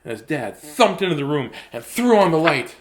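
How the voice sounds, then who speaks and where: A man's voice: a little high, very animated, normal speed. A man reading a children's bedtime story theatrically, slipping into whispers and repeating words for drama.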